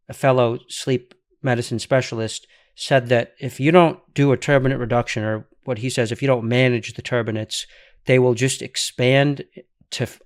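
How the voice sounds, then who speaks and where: Curious man hosting a podcast. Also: medium pitch. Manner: curious, asks follow-up questions. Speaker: podcast host. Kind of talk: casual podcast interview.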